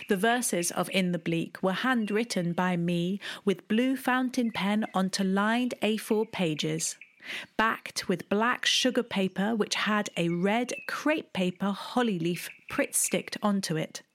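A woman, telling a personal memoir in a wry, deliberate way, with hushed asides. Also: medium pitch. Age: 30s. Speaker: storyteller. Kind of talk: memoir storytelling.

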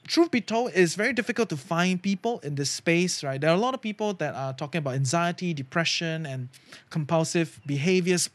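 A man's voice: high-pitched, a bit bright, no echo. A man from Singapore hosting a solo podcast, talking earnestly and casually, often tagging his sentences with "right?" and "you know". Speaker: podcast host.

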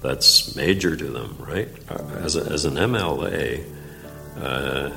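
Very deep, gravelly older man. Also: over music. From Nova Scotia, Canada. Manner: halting, many "uh" fillers and restarts. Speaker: former provincial legislator. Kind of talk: interview storytelling.

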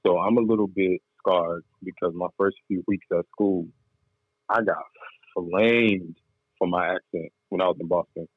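Deep-voiced man telling stories, tinny sound. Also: steady tone, a little slow, dry recording. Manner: candid storytelling, laid-back slang. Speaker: guest. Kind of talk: casual interview chat.

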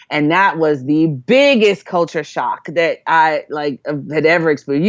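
Jamaica-born woman, deep low voice, earnest, lotsa ums, kinda muffled lo-fi sound. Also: no room sound. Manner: earnest, thoughtful, many fillers and restarts. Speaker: interview guest, employer. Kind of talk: reflective conversational storytelling.

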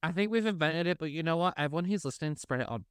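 A sassy, campy man co-hosting a podcast, whose first language is Spanish, throwing shade with glee. His voice is smooth and clear at a middle pitch. The recording is dry and close. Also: animated, racing, no echo. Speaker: podcast co-host. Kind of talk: casual chat.

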